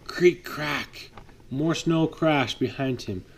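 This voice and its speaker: A man reading a picture book aloud to his child at bedtime, lively, pausing to chat with the child. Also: fairly high pitch, slight background noise. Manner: lively read-aloud, voices for the trucks, chats with the child. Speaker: father reading a bedtime story.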